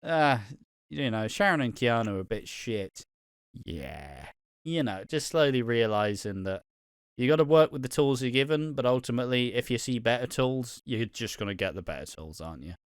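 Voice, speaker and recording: Man from England near the Welsh border, relaxed podcast co-host who laughs often, mid-pitched, recorded dry. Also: normal speed, clear, resonant tone, dry recording. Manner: laid-back, laughs often, trails off and restarts. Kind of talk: casual podcast chat.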